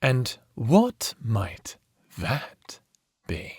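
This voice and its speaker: A man's voice: medium pitch, wildly swooping pitch, extremely slow, darkish tone, slightly rough, no room sound, clean studio sound, exaggerated voice. A man acting a sadistic, playful vampire villain who taunts theatrically in an audio drama. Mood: cruel, gloating. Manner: taunting, theatrical, toying with words.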